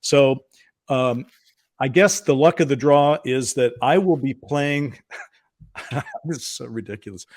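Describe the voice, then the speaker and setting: Male co-host, informal chat and cold script read, average pitch, dry close sound. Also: measured pace, long pauses, no room sound. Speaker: co-host of a show. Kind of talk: informal chat, then cold reading of an interviewer's questions aloud.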